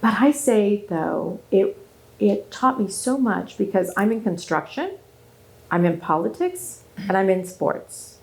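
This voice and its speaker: Woman, 50s, from Southern California, native German speaker, gravelly voice, earnest and animated, often restarting her sentences. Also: mid-range voice, measured pace, rough recording. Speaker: guest speaker. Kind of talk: interview conversation.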